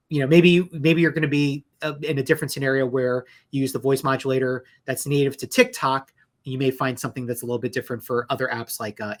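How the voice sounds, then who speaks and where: A man's voice: medium pitch, a bit bright. Conversational man explaining legal points. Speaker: lawyer, interview guest.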